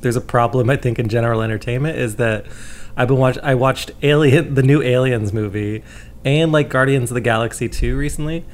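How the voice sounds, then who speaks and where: A man's voice: medium pitch, a little fast. A man, co-hosting a casual chat over coffee. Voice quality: raspy.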